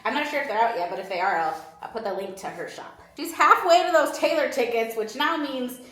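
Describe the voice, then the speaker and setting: A woman, chatting casually to camera in the early morning, relaxed and quick to laugh, with a stuffy, sniffly nose. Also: on the high side, reverberant. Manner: chatty and relaxed, laughs often, drifts off mid-sentence. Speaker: vlogger. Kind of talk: casual vlog chat to camera. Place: hotel room in the early morning.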